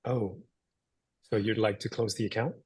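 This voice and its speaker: Man acting a husky, polite young bank teller. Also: on the low side, steady tone, moderate pace. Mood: polite, businesslike. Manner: courteous, businesslike. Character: polite bank teller in his mid-twenties. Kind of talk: dramatic script table read.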